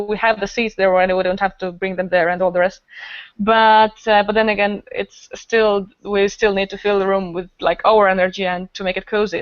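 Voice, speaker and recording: A woman's voice: mid-range voice, no room sound, muffled recording. A woman chatting earnestly on a podcast, explaining things in a rambling way. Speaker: podcast guest, improv festival organizer. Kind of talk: casual podcast discussion.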